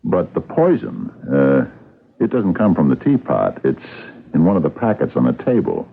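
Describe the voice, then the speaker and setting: Very deep gravelly police captain. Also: male voice, sing-song, normal speed, full character voice. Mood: musing. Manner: hesitant, probing, dry. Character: dogged, wry male police captain questioning suspects. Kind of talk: radio mystery drama acting. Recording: muffled lo-fi old radio broadcast.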